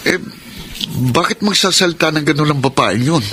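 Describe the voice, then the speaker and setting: A man's voice: a little high, expressive, normal speed, heavy background noise, natural voice. An actor with a Filipino accent playing a placating, defensive young man in a radio drama, hesitant and pleading. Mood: puzzled, respectful. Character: defensive young boyfriend and respectful son, placating. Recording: music bed under the voice.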